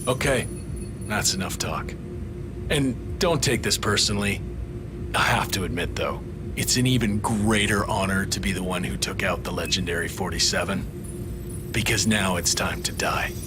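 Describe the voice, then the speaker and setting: A man's voice: low-pitched, moderate pace, over music, close to an everyday voice. A man playing a cocky, talkative agent and killer in a game, with a smug, theatrical manner. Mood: smug, menacing. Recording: clear background noise.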